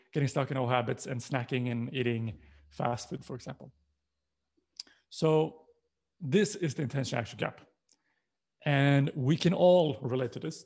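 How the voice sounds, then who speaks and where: Creaky-voiced Swedish man giving a presentation. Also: mid-range voice, a little mellow. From Sweden. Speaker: presenter, coaching co-founder. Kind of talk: slide presentation talk.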